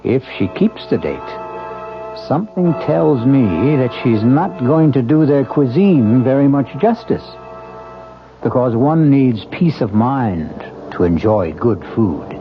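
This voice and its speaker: A man with an American accent hosting a radio mystery, narrating theatrically in a deliberate, ominous and wry manner. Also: mid-range voice, very animated. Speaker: host and narrator of mystery series. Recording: dull old radio recording with music. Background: music.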